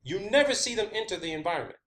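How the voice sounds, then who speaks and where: A man's voice: high voice, lively intonation, very fast, a bit bright. A man delivering an informal, rambling science-theory monologue, emphatic and sweary, often repeating his phrases. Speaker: online video host.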